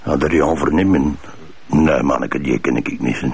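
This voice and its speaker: Een acteur die een brutale, ontwijkende Vlaamse cafébink en verdachte speelt, met volkse, botte praat in een hoorspel. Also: male voice, a bass voice, measured pace, vocal fry, a little mellow, breathy edge, exaggerated voice. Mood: dismissive. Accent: Flemish. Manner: slangy, cheeky, brusque. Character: cocky rough Flemish barfly suspect, gruff and evasive. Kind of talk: colloquial improvised radio drama.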